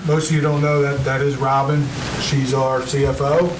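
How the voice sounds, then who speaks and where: A man speaking as mayor and chairing a public meeting in an informal, halting way. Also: medium pitch, level tone. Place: indoor public meeting room. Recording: echoey noisy room, distant mic.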